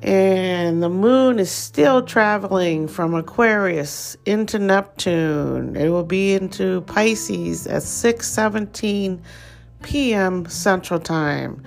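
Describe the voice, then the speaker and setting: A woman with a Thai accent giving a relaxed, rambling daily astrology forecast as host. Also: mid-range voice, slow, vocal fry.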